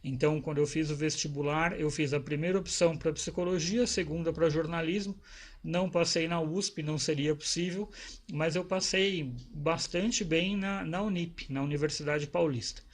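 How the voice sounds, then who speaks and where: A man's voice: fairly high pitch, steady tone, moderate pace. A man, a psychologist talking casually to camera about his own life, in a conversational, rambling way with restarts and tag questions. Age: 40s. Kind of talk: casual personal talk to camera.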